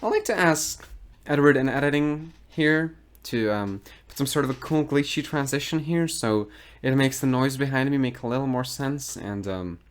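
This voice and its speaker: Sardonic YouTube commentator, lively pitch. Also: male voice, moderate pace, hardly any pauses, smooth. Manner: dry, mocking, deadpan asides. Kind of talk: sarcastic commentary. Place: garage.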